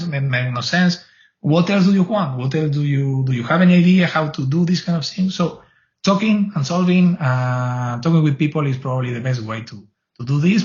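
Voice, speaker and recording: Argentine guest, Spanish native, earnest and rambling, slightly high voice, dull lo-fi video call sound. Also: male voice. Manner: earnest, rambling, frequent restarts. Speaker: guest, cloud advocate. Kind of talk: casual interview answers.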